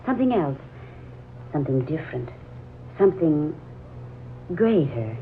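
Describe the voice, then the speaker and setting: A woman's voice: low-pitched, sing-song, unhurried, exaggerated voice. An actress playing a wistful, troubled young woman in a hesitant, dreamy 1940s radio drama style. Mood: wistful, puzzled. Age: young adult. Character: wistful, troubled 23-year-old woman drawn to a stranger. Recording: muffled, noisy old radio drama recording.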